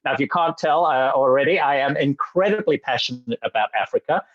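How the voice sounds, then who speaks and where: An eager man from Zambia presents with bubbly enthusiasm and a steady mid-range pitch. His speech is full of little fillers and restarts. The sound is dry and a bit dull, like a lo-fi web call. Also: no echo. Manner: energetic, fast-talking, frequent fillers and restarts. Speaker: sales director presenting.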